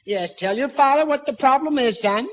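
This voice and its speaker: A man's voice: very high-pitched, expressive, normal speed. An actor playing a bumbling, good-natured, flustered husband and father with a stammering, muddled manner in a 1940s radio sitcom. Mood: knowing, embarrassed. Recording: old 1940s radio, loud audience noise.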